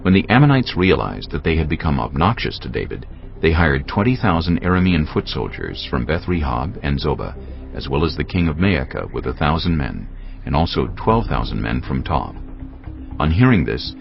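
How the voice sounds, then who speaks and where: A man narrating a dramatized audio Bible with measured, solemn storytelling delivery. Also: extremely low pitch, sing-song, gravelly, dull audio. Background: background music.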